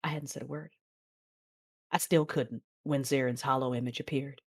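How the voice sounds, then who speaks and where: A woman playing a grieving female Jedi and mother, narrating her own tragedy in an emotive, measured audio drama style. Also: rumbling low, fairly even intonation. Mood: somber recounting. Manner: emotive, measured storytelling with anguished dialogue.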